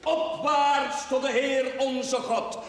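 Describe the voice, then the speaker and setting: High-voiced earnest young preacher, echoing church. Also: male voice, fairly even intonation, fairly bright tone, heavily acted. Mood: fervent, pleading. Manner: measured, declamatory, fervent, drawn-out phrases. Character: earnest young newly arrived chaplain preaching to his parish. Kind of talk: solemn rhetorical sermon, television drama acting. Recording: echoey church, distant from the microphone.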